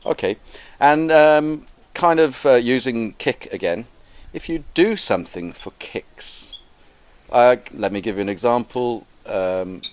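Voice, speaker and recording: A man's voice: medium pitch, expressive, unhurried, slight background noise. A man hosting a casual English lesson as the teacher, prompting with questions and clues.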